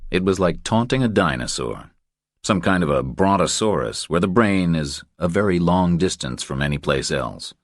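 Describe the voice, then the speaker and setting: A man's voice: deep voice, lively intonation, moderate pace, no room sound, clean studio sound. A man reading a scripted thriller as an audiobook narrator.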